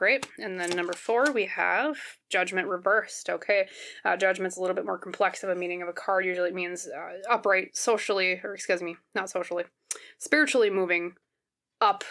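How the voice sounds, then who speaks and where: Woman, somewhat low pitch, a tad scratchy, conversational tarot reader, gentle explaining. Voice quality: slightly scratchy. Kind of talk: casual, conversational tarot card reading to viewers.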